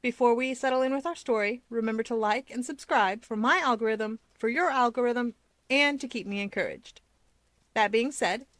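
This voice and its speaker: Bright, close-miked woman storyteller. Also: a little high, dry recording. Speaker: channel host and story narrator. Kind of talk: expressive scripted narration of fan fiction.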